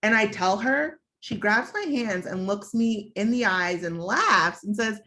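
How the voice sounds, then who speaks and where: A woman's voice: medium pitch, unhurried, bright, forceful tone. A young woman from the United States telling a personal story on a panel, in a candid, reflective way with frequent fillers.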